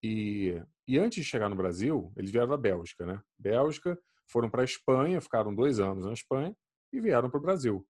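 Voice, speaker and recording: A man swears his way through a casual podcast chat, his voice swinging widely up and down. The tone is husky and a little rough. He tells stories with lively energy. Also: medium pitch, normal speed. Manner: animated, swearing, storytelling.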